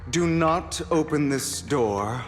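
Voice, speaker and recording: A man playing a proud, composed schemer in a video game, speaking in a measured, dramatic and gentlemanly way. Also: fairly high pitch, animated, in a large hall, faint music behind. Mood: strained, defiant.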